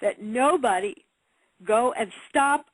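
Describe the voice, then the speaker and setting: A woman's voice: fairly high pitch, takes it slowly, slightly rough, no echo, low-quality recording. A woman practitioner on a radio talk show, earnest and firmly stressing her points. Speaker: Reiki practitioner guest.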